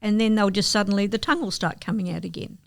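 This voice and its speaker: A woman's voice: mid-range voice, steady tone, quick pace, creaky, dry recording. A middle-aged woman, chatting patiently as a radio guest about her wildlife centre. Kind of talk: casual radio chat.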